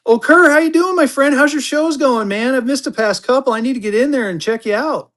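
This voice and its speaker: A fairly high-voiced man hosts a live auction stream in casual banter. He chats along with easy drawling asides, then jumps up in pitch and volume to cheer his viewers on. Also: a little fast. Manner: excitable hype bursts, chatty, drawling asides. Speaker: livestream auction seller.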